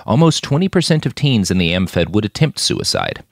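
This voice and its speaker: A man's voice: lowish voice, wildly swooping pitch, normal speed, gravelly, dry recording, studio quality. A man reading scripted fiction as the narrator of an audiobook-style podcast.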